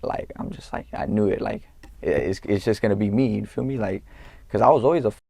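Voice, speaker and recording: A young man from California, a guest in an interview, talking in a laid-back, halting way with street slang and lots of fillers. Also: fairly low pitch, gravelly, warm tone, husky, dry recording. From Norwalk, California. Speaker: guest, rapper. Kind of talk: casual interview talk.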